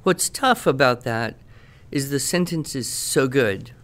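A man speaks as an education expert answering questions in a conversational podcast style. He explains step by step in measured phrases, often pausing partway through a thought. His voice sits at an average male pitch. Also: lively intonation, fairly bright tone.

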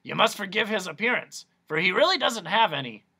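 Eccentric male quack doctor, theatrical and lecturing, high and loud, voiced by a man reading. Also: sing-song, fairly quick, gravelly, slightly rough, heavily acted. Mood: explaining. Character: fussy eccentric male noise doctor, showman.

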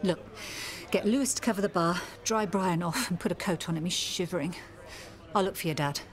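An actress playing a brisk, scolding middle-aged British landlady and mother in a radio soap, colloquial and no-nonsense. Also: fairly low pitch, lightly acted. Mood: worried, bossy. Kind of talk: naturalistic radio soap acting.

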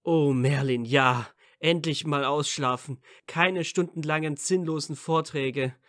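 A man's voice: on the high side, a little slow, a bit bright, no echo, studio quality. A man playing a tired, teasing, easygoing young wizard student in a fan fiction audio drama, casual and playful.

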